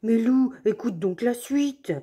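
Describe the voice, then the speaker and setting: A woman voicing a gentle little male bear who coaxes a friend, in a children's storybook reading. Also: on the high side, takes it slowly, husky, voice pushed up. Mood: coaxing.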